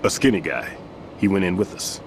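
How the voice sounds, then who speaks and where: An actor playing an aging, retired bomb disposal expert in a video game, a weary mentor who explains things in a measured, authoritative way. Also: male voice, deep voice, animated, vocal fry, noisy background. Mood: matter-of-fact. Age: older.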